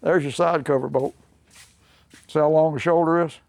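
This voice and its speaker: A man's voice: on the high side, fairly even intonation, normal speed, creaky, a little mellow, no room sound. An older man with a Southern US accent, a mechanic explaining a restoration job in a plainspoken, folksy way. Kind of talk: unscripted workshop explanation. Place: workshop.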